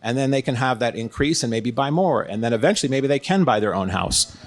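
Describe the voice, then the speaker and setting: A man's voice: medium pitch, animated, fairly quick. A middle-aged man with an American accent, speaking as an interview guest in a conversational, explanatory way. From United States, San Diego, California. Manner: explanatory, uses everyday analogies. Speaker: guest, real estate consultant and former executive.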